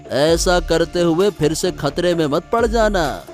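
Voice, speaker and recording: A man plays a compliant, hesitant husband in a cartoon tale of plotting. His voice sits fairly high, checking for agreement, with background music underneath. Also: lively intonation, unhurried. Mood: conspiring. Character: wary, compliant husband in a scheming couple. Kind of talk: Hindi cartoon story voice acting.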